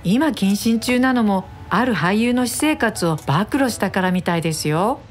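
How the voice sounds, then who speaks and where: A woman's voice: medium pitch, expressive, moderate pace, slight background noise, lightly acted. A woman playing a polite, gossipy office assistant in a radio drama, deferential and chatty.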